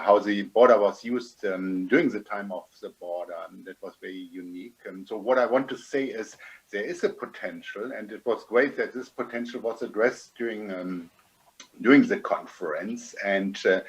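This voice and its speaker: Man, slight German accent, lowish voice, hesitant, many ums, video call panelist. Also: low-quality recording. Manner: hesitant, heavy um fillers. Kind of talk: roundtable panel remarks.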